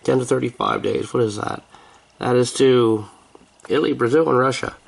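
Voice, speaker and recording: An American man talks casually, as if to regular viewers of his vlog. His voice sits in a middle register with a noticeable gravelly fry. He rambles along in a wry way, joking and exaggerating with some sarcasm. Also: medium pitch, moderate pace. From United States. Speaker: vlogger.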